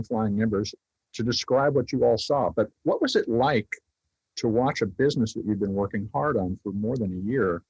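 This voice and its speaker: A man hosting a podcast, asking long interview questions with halting restarts. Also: lowish voice, a little mellow, breathy edge, no echo. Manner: halting, repeats words. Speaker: podcast host. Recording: dull, dry lo-fi audio.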